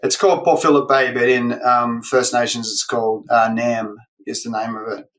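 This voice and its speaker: Guest, Australian man, mid-pitched voice, relaxed and easygoing chat. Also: normal speed. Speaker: guest educator. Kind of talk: casual interview chat.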